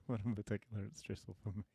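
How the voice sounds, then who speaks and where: A man's voice: on the low side, gravelly, warm tone, breathy edge, no room sound, studio quality. A man teaching programming on a casual live stream, answering questions and thinking aloud with many fillers and restarts, friendly and self-deprecating. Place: home desk, dim room.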